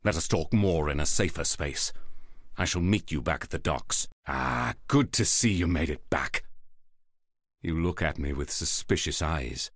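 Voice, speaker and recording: Solemn templar warrior, low-pitched, formal archaic speech, earnest, measured, dramatic fantasy game dialogue, dry studio sound. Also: male voice, a bit bright, no echo, heavily acted. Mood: wary warmth. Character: solemn, devout male templar warrior hosting a wounded god.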